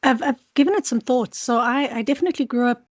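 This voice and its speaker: A woman's voice: high-pitched, fast talker, dry recording, highs cut off. A woman from South Africa with a South African accent, a PhD student talking candidly and thoughtfully as an interview guest. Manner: thoughtful, candid, frequent restarts. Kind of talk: conversational interview.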